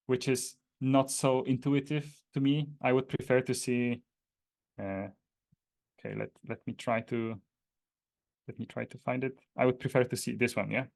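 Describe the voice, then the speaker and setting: A man from Poland with a Polish accent, giving an informal technical walkthrough in a hesitant, explanatory way. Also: mid-range voice. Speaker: presenter demonstrating a data dictionary.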